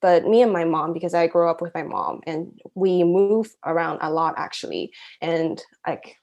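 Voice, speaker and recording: Chatty young woman with a lowish voice. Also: steady tone, normal speed, darkish tone, dull audio. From Shanghai, China. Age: young adult. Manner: chatty, giggly, frequent use of "like". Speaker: interview guest, university student. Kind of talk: casual chat, personal anecdotes.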